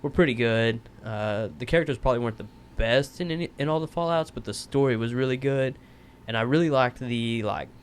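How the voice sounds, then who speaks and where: A man talking casually on a podcast at a middle pitch. He stops and restarts his sentences a lot, repeating words as he works out what to say. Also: a little hiss or hum. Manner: halting, repeats words while gathering thoughts. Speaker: podcast host. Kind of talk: casual chat.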